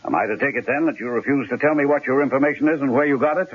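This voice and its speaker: Man playing a raspy, irascible police commissioner. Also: mid-range voice, very fast, lightly acted. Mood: angry, threatening. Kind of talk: old-time radio drama acting. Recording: dull old radio recording.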